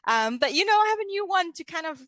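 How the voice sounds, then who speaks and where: Bright-voiced woman with a Lebanese Arabic accent. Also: very high-pitched, sing-song, fast talker, no echo, studio quality. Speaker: interview guest, leadership coach. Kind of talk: casual conversational interview.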